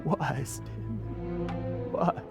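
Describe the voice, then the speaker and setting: An actor playing an aging, boastful adventurer haunted by guilt, in a dramatic video game style. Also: male voice, extremely slow. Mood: grieving. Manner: dramatic, anguished, theatrical delivery. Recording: loud music under the voice. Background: game background music.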